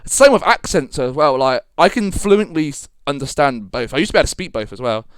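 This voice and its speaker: A man's voice: on the high side, lively intonation, fast, smooth, no room sound. A man born in Britain, rambling through a casual podcast chat with lots of fillers and swearing. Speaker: podcast co-host.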